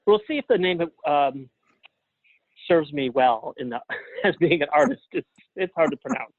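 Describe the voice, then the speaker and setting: A man being interviewed as a guest over a phone line, talking casually and a little haltingly, with a self-effacing manner. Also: fairly high pitch, big pitch swings, dry recording. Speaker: interview guest, playwright and librettist. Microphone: phone or call line. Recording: thin phone-line sound.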